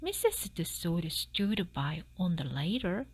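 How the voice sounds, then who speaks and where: A woman reading a storybook aloud in expressive, scripted narration. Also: fairly low pitch, slow, breathy edge. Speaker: storybook reader.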